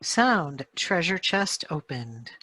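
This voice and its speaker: A woman's voice: sits low, big pitch swings, slow pace, bright, forceful tone, breathy edge, no echo. A woman announcing sound-effect cues for a radio script in clipped phrases, recorded on a noisy video call.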